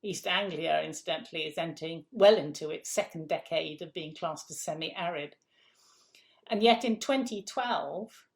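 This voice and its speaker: Gravelly-voiced woman, emphatic and questioning. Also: fairly low pitch, a little mellow. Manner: emphatic, repeats key phrases, rhetorical questions. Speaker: food policy guest. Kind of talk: conversational interview answer.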